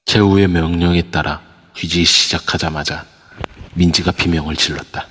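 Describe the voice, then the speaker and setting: A man narrating an amateur audio drama in a steady storytelling manner. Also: deep voice, moderate pace, slight background noise. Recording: long reverb over a voice app.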